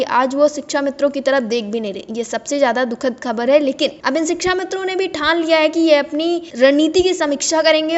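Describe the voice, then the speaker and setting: A woman narrates a scripted news report in a high voice. The recording is a little muffled and lo-fi. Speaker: news presenter.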